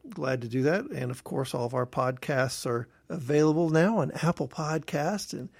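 Male podcast co-host from Texas, gravelly and upbeat, dry close sound. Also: fairly high pitch, normal speed, dry recording. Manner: upbeat, folksy, addresses listeners as folks. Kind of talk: casual podcast chat.

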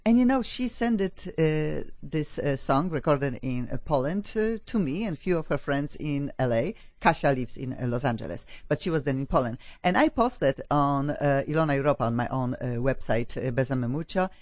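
A woman's voice: sits low, animated, normal speed, few pauses, a little mellow, dry recording. A woman hosting a talk show interview, gushing and enthusiastic, with many fillers. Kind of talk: effusive interview chat. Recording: dull lo-fi, dry.

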